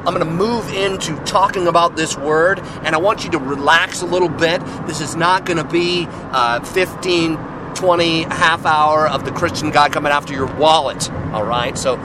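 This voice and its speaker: A raspy, hoarse man is getting over a cold while he hosts his podcast. His voice sits a bit higher than most men's. He jokes and rambles loosely, with steady noise running underneath. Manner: joking, loose, self-deprecating. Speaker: podcast host. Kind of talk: casual rambling monologue.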